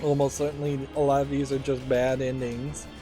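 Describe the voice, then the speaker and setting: A man's voice: medium pitch, flat delivery, moderate pace. A man giving casual, musing, analytical commentary as he plays a video game. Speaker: let's play host. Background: game music and voices.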